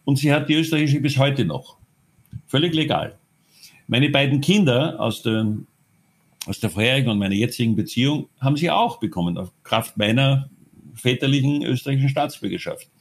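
A man from Austria, telling his own story in an interview, halting often with fillers and restarts. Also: mid-range voice.

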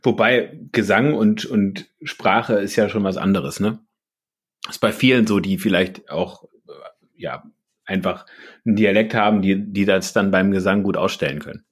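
A man from Berlin interviewing a musician in a casual, lively and curious chat. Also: low-pitched, moderate pace, husky.

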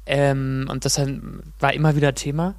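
A man co-hosting a podcast, telling personal stories casually with frequent hesitant pauses. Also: mid-range voice, flat delivery, no echo, clean studio sound. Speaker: podcast co-host.

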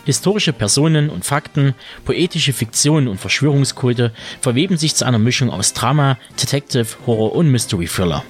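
A man's voice: mid-range voice, expressive, a little fast. A man presenting as a podcast host in a scripted narration style. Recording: music under the voice. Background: music.

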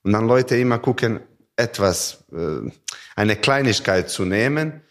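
A handball player in a podcast interview, speaking halting non-native German with many fillers, originally from Montenegro. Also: male voice, fairly low pitch, lively intonation. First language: not German.

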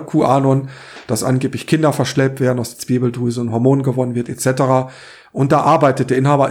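Male podcast co-host, hoarse with a cold, opinionated and earnest delivery. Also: medium pitch, a little mellow. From Saarland, Germany. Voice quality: rough, hoarse. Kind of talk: opinionated political podcast talk.

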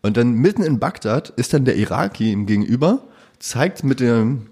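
A man's voice: medium pitch, expressive, moderate pace, mellow, breathy edge. A man co-hosting a podcast, chatting casually and joking brashly, with frequent swearing. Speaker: podcast co-host. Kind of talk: casual chat.

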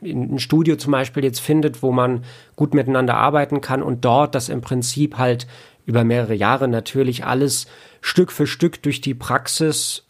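A man, an audio producer talking as an interview guest, explaining things in a halting way and repeating words as he thinks. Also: mid-range voice, steady tone. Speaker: audio producer and sound engineer, podcast guest.

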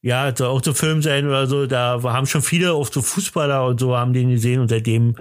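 Halting, rambling male podcast host, dry close sound. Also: medium pitch, fairly even intonation, clear, resonant tone, no echo. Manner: hesitant, rambling, halting delivery. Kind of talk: casual, joking chat.

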